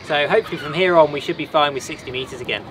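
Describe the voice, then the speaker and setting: A man's voice: fairly high pitch, rapid-fire. A man in his thirties, a sailing vlogger, casually explaining maintenance work on his boat outdoors. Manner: relaxed, practical, explains while working. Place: outdoors on a boat and a marina dock. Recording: outdoor noise behind.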